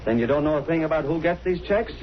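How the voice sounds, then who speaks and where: An actor playing an eager, nosy newspaper reporter in a vintage radio drama, slangy and inquisitive. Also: male voice, fairly high pitch, fast, natural voice. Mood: probing. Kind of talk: 1930s-40s radio drama acting. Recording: dull old radio recording.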